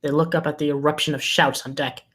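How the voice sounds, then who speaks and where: Screenplay narrator with medium pitch. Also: little pitch movement, fast talker, a bit bright. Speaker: stage-directions narrator. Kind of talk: scripted screenplay narration at table read.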